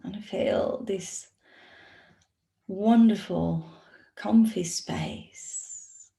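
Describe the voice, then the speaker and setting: A woman leading a guided meditation as a yoga nidra teacher, speaking in a soothing, instructional manner with long pauses. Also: mid-range voice, vocal fry, mellow, whispered. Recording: roomy, echoey sound.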